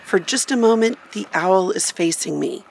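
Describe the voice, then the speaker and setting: A woman's voice: medium pitch, moderate pace, creaky, a little mellow, a little hiss or hum, low-quality recording. A woman radio reporter reading scripted narration for a feature story.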